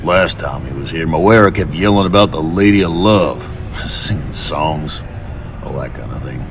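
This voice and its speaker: A gruff Martian barkeep from a sci-fi game, with an extremely deep voice. He talks in a weary, folksy, grudging way over clear ambient noise. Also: moderate pace. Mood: exasperated. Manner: gruff, folksy, plain-spoken. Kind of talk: video game character dialogue.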